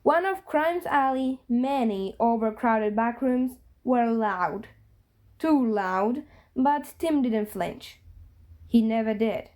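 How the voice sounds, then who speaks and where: A woman's voice: a little high, animated, slow, darkish tone. A woman reading a story aloud as a scripted narration. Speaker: fan fiction reader.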